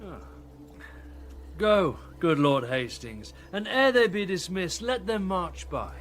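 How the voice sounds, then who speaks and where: An actor playing a grave, eloquent rebel archbishop in a classical radio drama, with formal, rhetorical phrasing. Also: male voice, high-pitched, animated, takes it slowly, a bit bright, exaggerated voice. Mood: courteous.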